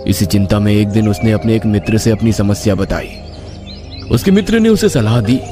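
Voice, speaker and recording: A man reading a scripted horror story as its narrator. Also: mid-range voice.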